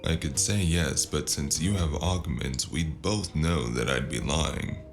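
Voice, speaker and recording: A man playing a sardonic, battered spacer in a noir audio drama, with a gravelly voice and a wry, deadpan delivery. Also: rumbling low, a little slow, faint music behind. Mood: exasperated.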